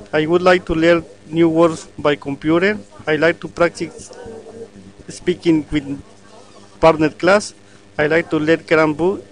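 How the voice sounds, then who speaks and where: A man who is an adult English learner, speaking in halting, broken phrases. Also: fairly high pitch, poor audio.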